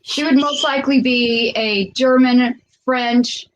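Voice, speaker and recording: A woman giving psychic impressions about a doll in a relaxed, conversational way, repeating words as she searches for them. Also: fairly high pitch, slight background noise, low-quality recording. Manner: thoughtful, unhurried, repeats words while searching. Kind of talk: casual conversation.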